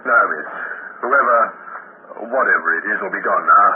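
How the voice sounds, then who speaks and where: An actor playing a stolid, polite police sergeant in a 1950s radio drama, terse, hesitant and uneasy. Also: male voice, fairly low pitch, normal speed, soft background music, close to an everyday voice. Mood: cautious. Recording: muffled, noisy 1950s radio recording.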